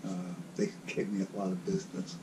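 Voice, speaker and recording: An older man with a raspy voice, recalling his past in a halting, rambling way as the guest of an interview. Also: low-pitched, completely flat delivery, noisy background. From South Carolina. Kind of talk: casual reminiscing.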